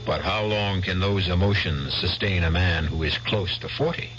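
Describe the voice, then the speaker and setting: A man hosting and narrating an old radio mystery show in an ominous, measured way. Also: low voice, fairly bright tone, a little hiss or hum. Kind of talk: slow dramatic radio narration. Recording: dull old radio broadcast over music.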